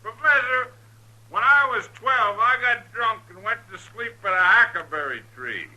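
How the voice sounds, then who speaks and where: An actor playing a drunken, rowdy frontier heckler in a 1950s radio western. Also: male voice, high-pitched, lively intonation, measured pace, bright, forceful tone, heavily acted, poor audio. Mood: jokey. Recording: old radio show recording.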